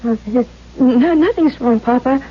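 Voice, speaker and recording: High-voiced, timid younger sister. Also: female voice, measured pace, breathy edge. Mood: frightened, faltering. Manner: hesitant, stammering, anxious. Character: timid, beaten-down younger sister and dutiful daughter, around thirty. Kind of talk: 1970s radio drama acting. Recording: dull vintage radio recording.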